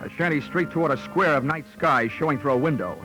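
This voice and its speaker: An American actor playing a dry, sardonic hard-boiled insurance investigator narrating a 1940s radio drama in punchy lines. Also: male voice, fairly high pitch, fast, music in the background, close to an everyday voice, rough recording. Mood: tense, grave. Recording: vintage 1940s radio recording.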